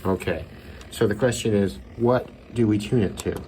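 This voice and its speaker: A man with a very low voice talks through a hobby demonstration in a casual way. He takes his time and thinks aloud as he works. Clearly audible noise and static sit behind his voice in the room. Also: moderate pace, darkish tone, rough recording. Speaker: radio hobbyist presenter. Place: indoors by a window.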